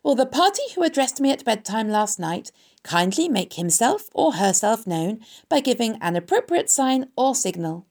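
A woman voicing an eager, polite, naive young male pig in a storybook, earnest and prone to loud exclamations. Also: fairly high pitch, sing-song, unhurried, gravelly, hardly any pauses, heavily acted. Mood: loud, formal.

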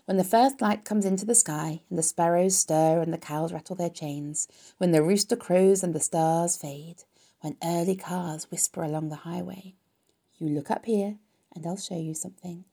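A woman voicing a wise, motherly, tired female spider from a storybook, speaking softly in a measured, dignified way. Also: fairly low pitch, breathy edge. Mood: tender promise. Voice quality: weak, soft.

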